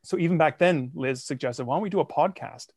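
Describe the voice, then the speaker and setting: A man guesting on a podcast, telling the story of his projects in a lively, self-mocking way. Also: fairly high pitch, a little fast, breathy edge, dry recording, studio quality. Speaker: guest, comedy podcaster and songwriter. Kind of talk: casual interview.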